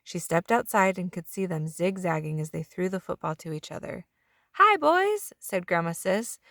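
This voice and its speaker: A woman's voice: lowish voice, fairly even intonation, normal speed, dry recording, clean studio sound. A woman reading a children's storybook aloud as a narrator, drawing out the ends of some phrases. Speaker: children's book reader.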